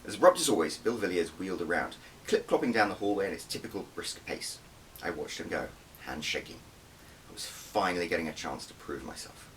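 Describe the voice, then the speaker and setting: Male author-narrator: deep, expressive first-person storytelling, recorded slightly off the mic. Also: brisk. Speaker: author reading own audiobook. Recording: distant mic with faint background noise.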